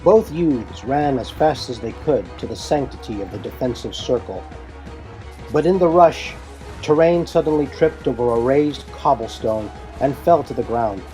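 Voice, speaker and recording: A man's voice: medium pitch, dark tone, low-quality recording. A man delivering scripted, dramatic narration for an audio drama. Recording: music bed behind the voice.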